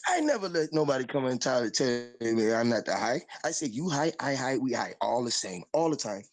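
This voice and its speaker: A man's voice: mid-range voice, sing-song, brisk. A man in a put-on Caribbean island accent, rambling and boasting in profane casual chat on a call-in show.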